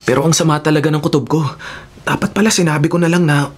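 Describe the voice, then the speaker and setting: An actor playing an anxious, polite young city vlogger in a radio drama. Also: male voice, a little high, fairly even intonation, quick pace, husky. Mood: anxious, confused. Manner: uneasy, brooding, polite to elders. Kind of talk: radio drama acting with inner monologue. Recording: loud music bed. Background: loud music.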